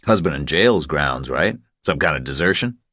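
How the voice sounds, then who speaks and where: A man's voice: rumbling low, expressive, moderate pace, bright, forceful tone, no room sound, studio quality, heavily acted. A man playing a blunt, practical tough drifter in audiobook dialogue, terse and matter-of-fact. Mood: terse, probing.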